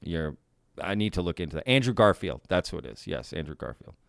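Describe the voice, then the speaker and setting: A man's voice: deep voice, very animated, fairly quick, creaky, no room sound, clean studio sound. A male live stream host in a casual Q&A, talking in a laid-back, folksy way, often saying y'all and reading out viewer comments. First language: bilingual Spanish and English.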